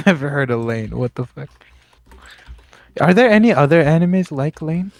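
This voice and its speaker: A man's voice: a little high, expressive, moderate pace, dry recording. A young man joining by call as a guest, chatting casually with a dry, teasing humor.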